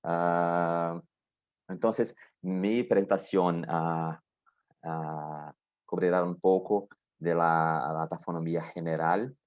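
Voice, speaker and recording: Deep-voiced Brazilian man, slow and hesitant. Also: fairly even intonation, darkish tone, lo-fi sound. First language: Portuguese. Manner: slow, hesitant, frequent drawn-out pauses. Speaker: guest lecturer. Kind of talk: academic seminar talk. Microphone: video call.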